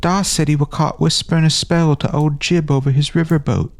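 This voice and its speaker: A man's voice: a little high, fairly even intonation, fairly quick, dry recording, voice pushed up, close to an everyday voice. A man voicing a small, timid, curious boy from fantasy slums in an audio story, naive and rustic in speech. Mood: mumbling, timid. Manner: naive, mumbling, rustic street grammar.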